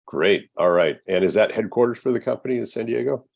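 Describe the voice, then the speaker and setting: Give me an easygoing male podcast host with a very low voice. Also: brisk, vocal fry, lo-fi sound. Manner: easygoing, upbeat interjections. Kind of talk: casual interview hosting.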